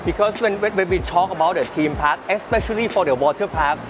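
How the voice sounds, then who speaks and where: High-pitched male interviewer, music behind. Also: a little fast. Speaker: interviewer, show host. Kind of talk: casual on-location interview. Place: outdoor water park. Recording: clear music behind, outdoors.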